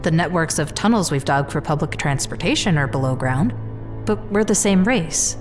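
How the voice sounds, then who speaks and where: Stressed scientist mom, lowish voice, clipped and doubtful, music under it, audio drama. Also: female voice, expressive, normal speed. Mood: puzzled, doubtful. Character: harried, doubtful female scientist and mother.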